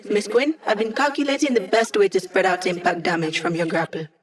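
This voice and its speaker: Woman playing young hacker woman, digital mind, medium pitch, casual, teasing, upbeat, video game character. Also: animated, a little fast, close to an everyday voice. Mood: wry, upbeat.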